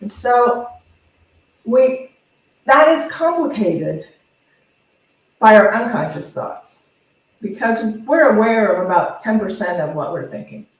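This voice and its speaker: Slow-paced woman preaching in an echoey room. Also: medium pitch, animated. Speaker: spiritual center minister. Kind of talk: informal teaching talk to a congregation. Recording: very echoey room, distant microphone.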